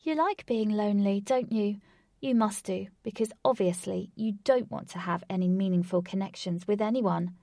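A woman voicing a defiant young woman who confronts her stalker in a raised, forceful voice. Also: normal speed, creaky, no echo, studio quality, lightly acted. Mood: insistent. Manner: forceful, pleading, high.

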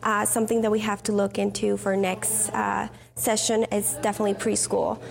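A woman's voice: mid-range voice, little pitch movement, normal speed, gravelly. A woman raised in Mexico answering interview questions, earnest and a bit hesitant. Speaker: retreat participant.

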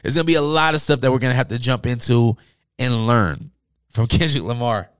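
A man's voice: mid-range voice, fairly quick, clean tone, no room sound. Male podcast host, informal and slangy, enthusiastic music chat, voice wearing out from overuse, close dry recording. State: losing his voice from talking all day. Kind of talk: casual music talk podcast. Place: indoors, upstairs room.

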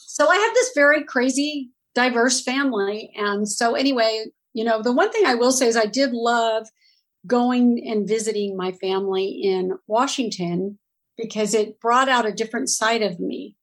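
A woman's voice: on the high side, moderate pace. A middle-aged woman from Southern California, a guest telling her family story in a chatty interview, laughing easily and restarting her sentences.

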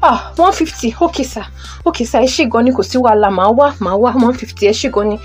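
An actress plays an eager young Nigerian mother in an audio drama. Her voice sits high, and she speaks politely and deferentially, mixing languages as she goes. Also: quick pace, breathy edge, soft background music. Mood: grateful. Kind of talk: audio drama acting, Yoruba-English phone conversation.

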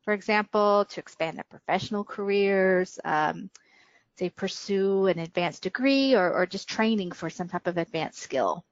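A woman's voice: medium pitch, normal speed, dry recording. Female senior manager as podcast guest, explanatory business interview, close dry sound with slightly muffled audio.

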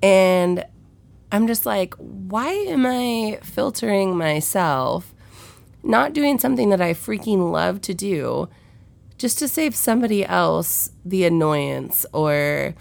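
A woman's voice: expressive, slow, no echo. A young woman hosting her podcast, talking frankly and swearing freely, with a nasal, stuffed-up voice from a head cold and sniffles. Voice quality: low, gravelly, nasal. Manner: frank and profane, with many fillers. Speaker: podcast host. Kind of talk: candid solo podcast chat.

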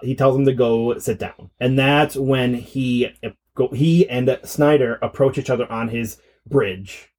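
A man's voice: medium pitch, steady tone, unhurried, darkish tone. A man co-hosting a podcast in casual film discussion, conversational and thinking aloud as he goes. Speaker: podcast co-host.

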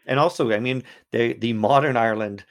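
A man's voice: mid-range voice, a little fast, creaky. A man, a history professor, answering interview questions in a thoughtful way with frequent restarts.

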